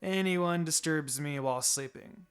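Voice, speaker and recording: A man's voice: on the high side, unhurried, smooth, close to an everyday voice. A man voicing a lazy, indifferent young ninja boy in a fan fiction reading. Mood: bored. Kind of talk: fan fiction character dialogue. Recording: music behind the voice.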